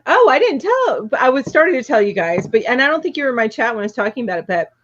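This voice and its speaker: A fairly high-voiced woman chats casually on a live video call, laughing easily and greeting viewers by name. The audio sounds dull and lo-fi, like a compressed stream. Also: sing-song, fast. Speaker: co-host of a live crochet show. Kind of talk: casual chat on a livestream.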